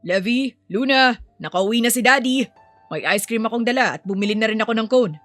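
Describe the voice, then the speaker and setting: Casting: woman reading as an affectionate, easygoing young father in a story, gently coaxing, faint music underneath. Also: a little high, fairly quick, dry recording, close to an everyday voice. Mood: cheerful. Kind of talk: audio story dialogue.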